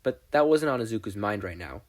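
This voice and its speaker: Animated man narrating a story. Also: lowish voice, fairly quick. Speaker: audiobook narrator. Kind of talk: expressive scripted story narration.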